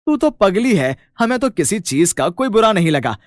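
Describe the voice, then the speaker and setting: A man plays a humble farmer and elder brother in an animated bedtime story. He speaks heartily and affectionately, with a forgiving temper, in a dry recording. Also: sits high, moderate pace, no room sound, pitched above the performer's own voice. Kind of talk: animated moral story character dialogue.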